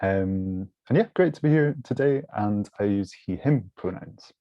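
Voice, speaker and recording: Low, mellow-voiced young man on headset. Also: animated, unhurried, no echo. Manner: hesitant, restarting phrases. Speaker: composer and songwriter. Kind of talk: panel introduction on a video call. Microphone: headset on a video call. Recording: dry, dull video call audio.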